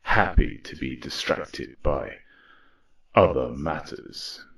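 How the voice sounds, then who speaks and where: Very deep, slow, solemn robot leader voiced by a man. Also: vocal fry, fairly bright tone, heavily acted. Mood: grave, regretful. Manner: grave, solemn. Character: weary male robot war leader recounting his downfall. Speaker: narrator. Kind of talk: dramatic audio drama narration.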